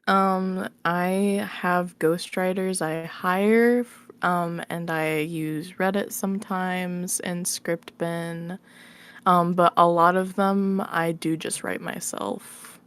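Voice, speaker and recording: A woman with a slightly low voice talks as a guest in a casual interview. She is candid, informal and prone to giggling. Her sound is very dry, with hardly any room around it. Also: fairly even intonation, slow, smooth, no room sound. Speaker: interview guest, audio roleplay creator. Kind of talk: casual chat.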